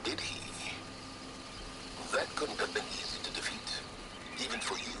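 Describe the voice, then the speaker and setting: An actor playing a cool, cultured older schemer in a video game, speaking formally with dry approval. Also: male voice, moderate pace, very noisy, natural voice. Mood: cool, intrigued. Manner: hushed, formal, dryly approving.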